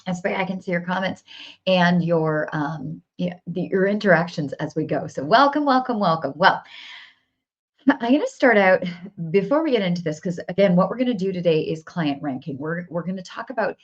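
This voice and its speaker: A woman business coach hosting a live coaching stream, her voice hoarse from cheering, upbeat and repeating phrases as she talks straight to viewers. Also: fairly low pitch, expressive, normal speed. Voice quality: gravelly. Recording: dull lo-fi livestream audio.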